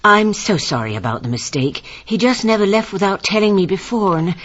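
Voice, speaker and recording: An actress playing a professor's anxious, apologetic and genteel wife in an old-time radio mystery. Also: female voice, mid-range voice, expressive, fairly quick, a bit bright. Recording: muffled radio drama recording.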